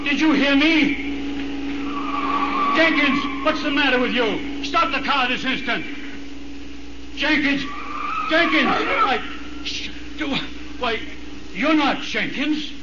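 A man's voice: very high voice, animated, slow pace, pauses often, full character voice. Fussy, anxious wealthy older man. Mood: alarmed, frightened. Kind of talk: 1930s radio acting. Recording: very noisy, muffled 1930s radio recording.

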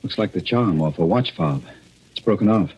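Gruff, husky police inspector. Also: male voice, low-pitched, expressive, moderate pace, a little mellow. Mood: probing. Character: blunt, businesslike male police detective inspector. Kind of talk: 1940s radio drama acting. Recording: muffled old radio recording.

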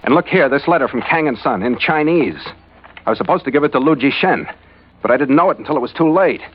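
An actor playing a tough, wry American ship captain in a hardboiled 1940s radio adventure, clipped and wisecracking. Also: male voice, a little high, expressive, fast talker, breathy edge. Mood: urgent, probing. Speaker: lead actor and narrator. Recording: muffled vintage radio recording with music.

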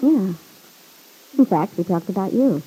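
A woman's voice: mid-range voice, lively intonation, measured pace, warm tone, husky, soft background music, rough recording. A woman playing a chatty, plain-spoken young roommate in an old-time radio serial, with breezy, slangy, folksy talk. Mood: breezy, frank.

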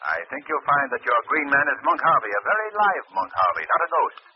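A man's voice: mid-range voice, big pitch swings, quick pace, natural voice. An actor playing a confident, knowing male crime-fighter who explains and commands, in 1940s radio drama style. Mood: commanding, knowing. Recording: dull, noisy old radio recording.

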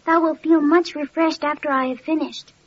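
A woman playing a gentle, earnest young boy in a classic radio drama, with solemn, simple archaic delivery. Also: high voice, fairly even intonation, moderate pace, natural voice. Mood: earnest, humble. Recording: dull, noisy old radio recording.

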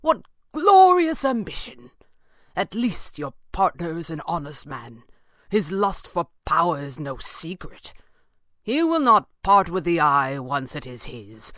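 A man's voice: on the high side, big pitch swings, slow, sharp tone, dry recording, studio quality, pitched above the performer's own voice, exaggerated voice. Man acting an impassioned, declamatory master magician. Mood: scornful. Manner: declamatory, ringing. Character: indignant, impassioned male master magician, grand and defiant. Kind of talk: dramatised pulp adventure audiobook.

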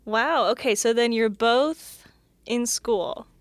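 A woman interviewing two founders, asking questions and dropping playful quick remarks. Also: on the high side, no room sound. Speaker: podcast interviewer. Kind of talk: casual interview.

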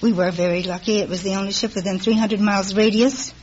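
A woman with a mid-pitched voice, speaking conversationally as a quiz contestant. The recording is an old radio one, dull and faintly noisy. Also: fairly even intonation, fast, a little mellow. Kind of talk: casual conversation with a host. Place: radio studio before an audience.

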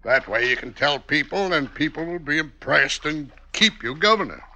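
Vain, scheming governor, sharp bright voice. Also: male voice, a little high, expressive, normal speed, full character voice. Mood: smug. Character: vain, scheming male state governor, fond of hangings. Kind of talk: old-time radio drama acting. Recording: noisy vintage radio recording.

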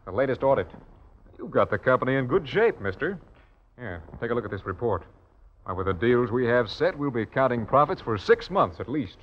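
A hearty business colleague who looks out for his friend. His mid-pitched voice is animated, in old radio acting style on a dull recording. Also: a little fast. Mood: hearty, encouraging.

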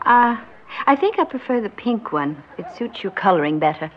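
A woman's voice: mid-range voice, big pitch swings, normal speed, natural voice. An actress playing a vain, fretful middle-aged mother in an old radio drama, brittle and theatrical. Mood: motherly, composed. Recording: muffled old radio recording with hiss.

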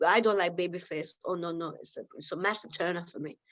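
A woman's voice: fairly low pitch, quick pace, a bit bright, muffled recording. A woman from Lithuania with a Lithuanian accent, talking bluntly in a casual interview, swearing and laughing often. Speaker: interview guest.

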